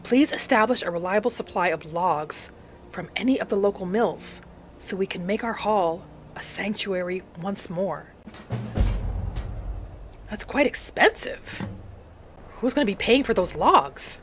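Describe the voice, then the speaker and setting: A woman voicing a vengeful young orphaned vampire hunter, narrating a video game in character with earnest drama and breathy asides. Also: mid-range voice, expressive, a little slow, pauses often, slight background noise, lightly acted. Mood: determined. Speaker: roleplay gamer narrating in character. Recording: music behind the voice. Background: game music.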